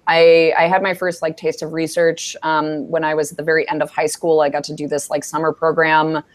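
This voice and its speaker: A woman in her thirties from New York talks through an earbud headset on a video call. Her voice sits low for a woman, and she speaks casually and reflectively, with lots of fillers. She sounds like she is thinking aloud about her own experience. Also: level tone, a little fast. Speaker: panelist. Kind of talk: panel answer over video call. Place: office with bookshelves.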